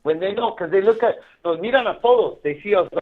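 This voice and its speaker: A man's voice: sits high, animated, fast. A man on a phone line, chatting casually in slang and switching between two languages. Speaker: phone caller from prison. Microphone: telephone. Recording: tinny, band-limited prison phone line.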